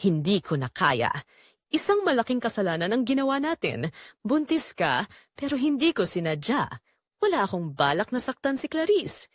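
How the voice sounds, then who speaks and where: A woman's voice: on the high side, very animated, normal speed, dry recording. Woman narrator playing an anguished, apologetic Filipino husband in a romance radio play, swinging intonation, dry recording. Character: guilt-ridden, pleading adulterous young husband.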